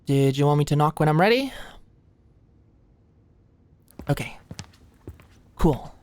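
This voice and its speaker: Woman acting as nervous young med student girl, lowish voice, super dry close mic, chatty asmr roleplay, awkward and flustered. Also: animated, normal speed, darkish tone, no room sound. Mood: casual, playful. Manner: hesitant, chatty, nervous, conversational. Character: anxious young female medical student, friendly, flustered, secretly smitten. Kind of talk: ASMR roleplay, acted casual dialogue.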